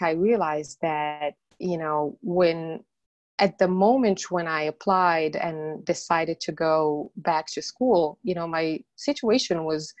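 A woman's voice: sits low, no echo. A middle-aged woman from Morocco, an interview guest telling her story earnestly, with many "you know" fillers.

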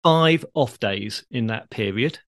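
A man's voice: mid-range voice, animated, slow, no echo. A British man hosting a podcast, explaining things in a conversational way. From Britain. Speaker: podcast host.

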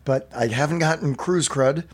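A hoarse man in his fifties, a guest musician chatting casually and joking about himself in an interview aboard a cruise ship. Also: on the high side, moderate pace. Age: 50s. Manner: joking, self-deprecating. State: hoarse, partly lost voice.

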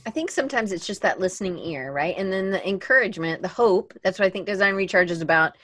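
A woman hosts a casual podcast in an encouraging, conversational way, with some light teasing. Her voice sits at a mid pitch. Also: expressive, fast, a bit bright. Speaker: podcast host.

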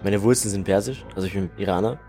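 A man's voice: lowish voice, fast, music in the background. A man, candidly telling a personal story in an interview. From Salzburg, Austria. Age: 20s. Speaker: guest.

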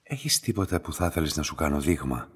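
Mysterious middle-aged lab master, radio drama, very low rough-soft voice, calm probing delivery, long reverb. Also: male voice, heavily acted. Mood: probing, insistent. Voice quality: deep, husky. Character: enigmatic, calm, quietly insistent man who runs a specimen laboratory.

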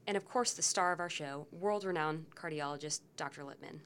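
A woman physician hosting a medical teaching podcast, upbeat and brisk. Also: deep voice, a bit bright. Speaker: host, physician.

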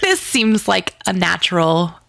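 A woman with a fairly low voice and a noticeable creak talks casually as an interview guest. Her phrasing is informal and hesitant, peppered with "like." The sound is dry and close, with very little room on it. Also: very animated, measured pace, a bit bright, no room sound. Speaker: guest, audio drama co-creator and writer.

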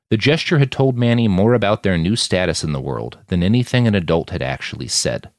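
A man narrates a story in a very deep voice. His pitch moves in animated swings as he reads the prose. The sound is dry, with almost no room on it. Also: gravelly, no room sound. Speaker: author narrating own fiction podcast. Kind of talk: audiobook-style fiction narration.

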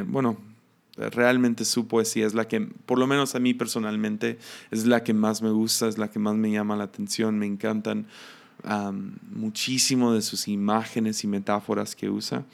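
A man's voice: lowish voice, little pitch movement, moderate pace, gravelly. A man giving an informal Bible lesson, hesitant, with many filler sounds and restarts. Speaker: Bible teacher.

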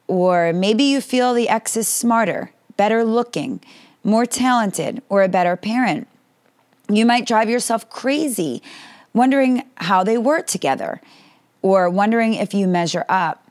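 A woman with a slightly raspy voice hosting a solo family-advice podcast, talking earnestly and directly to her listeners. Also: mid-range voice. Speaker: podcast host.

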